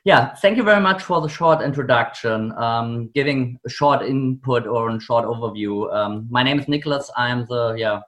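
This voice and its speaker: A man's voice: mid-range voice, normal speed, hardly any pauses, fairly bright tone. Earnest German-accented male founder. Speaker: guest, company founder. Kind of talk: interview answers.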